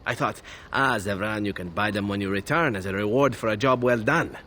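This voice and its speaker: An actor playing a smooth-talking, flirtatious assassin with flowery, courtly phrasing in a video game. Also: male voice, fairly low pitch, a little fast, pushed and bright, a little hiss or hum. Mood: wistful, musing. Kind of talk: video game dialogue acting.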